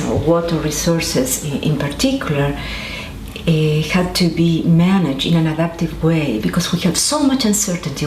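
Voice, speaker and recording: Brief: Argentine-born woman, lowish voice, halting interview answers, echoey room with faint noise. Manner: thoughtful, many restarts and fillers. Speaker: interviewee, scientist.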